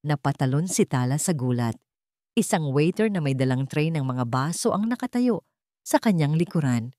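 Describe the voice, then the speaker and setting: A woman with a Filipino accent narrating a scripted dramatic story in measured, suspenseful short phrases. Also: sits low, animated, dark tone, no echo, studio quality.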